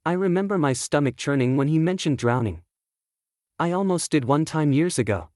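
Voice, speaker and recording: A man's voice: fairly high pitch, expressive, normal speed, darkish tone, no echo, studio quality. A man reading scripted first-person story narration as the author of a fantasy audiobook.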